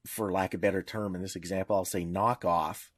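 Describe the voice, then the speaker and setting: A man's voice: low voice, fairly even intonation, a little fast, no echo, clean studio sound. A man speaking as a podcast panelist in informal discussion, explaining in a didactic way with examples.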